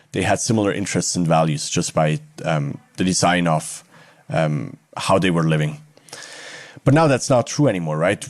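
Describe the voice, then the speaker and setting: A man's voice: low voice, darkish tone, dry recording, studio quality. A man from Switzerland, speaking reflectively as an interview guest.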